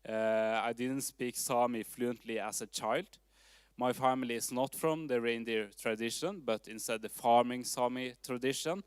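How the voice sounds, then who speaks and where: A man with a Norwegian accent gives a presentation, his voice sitting at a medium pitch. The tone is smooth and resonant, recorded close and dry. He speaks in a measured way, with fillers and restarts along the way. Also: steady tone, dry recording. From Norway. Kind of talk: presentation talk. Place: town hall forum.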